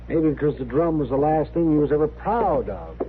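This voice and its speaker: An actor playing a thoughtful, weary, plain-talking frontier town doctor in an old radio western. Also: male voice, on the high side, moderate pace, natural voice. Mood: reflective. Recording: muffled, noisy old radio recording.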